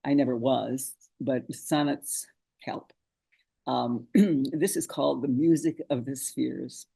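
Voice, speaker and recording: A man's voice: mid-range voice, fairly even intonation, slow, vocal fry, warm tone, husky. A man speaking informally over a video call as a poet introducing and reading a sonnet.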